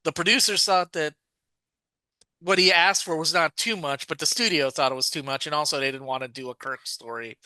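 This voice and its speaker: A man's voice: a little high, fairly quick, bright, forceful tone, no room sound. A man co-hosting a podcast, chatting casually and rambling with lots of fillers and self-corrections. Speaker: podcast co-host. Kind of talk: casual chat about a TV show.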